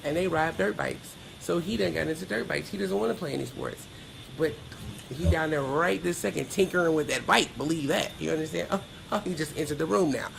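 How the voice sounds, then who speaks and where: A woman's voice: sits low, fairly quick, vocal fry, noisy background. A middle-aged woman giving a candid interview as a podcast guest, speaking in a blunt, emphatic, plain-spoken way.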